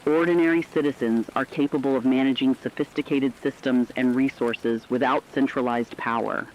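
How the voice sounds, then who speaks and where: A man's voice: medium pitch, fairly even intonation, moderate pace, natural voice. A man playing an earnest radio correspondent in a doomsday broadcast, reading scripted news formally and evenly in dull, lo-fi sound. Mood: earnest, measured.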